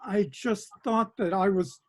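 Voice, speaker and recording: A man playing a bewildered, gullible patient, earnest and halting, in a comic play reading over a video call. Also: high voice, steady tone. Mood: resigned dismay.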